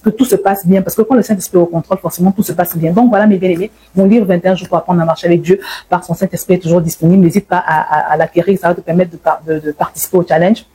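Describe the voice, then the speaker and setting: Mellow, slightly husky woman sharing faith testimony. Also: fairly low pitch, steady tone, very fast, rough recording. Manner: emphatic, repeats words and phrases for stress. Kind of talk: informal religious testimony and exhortation.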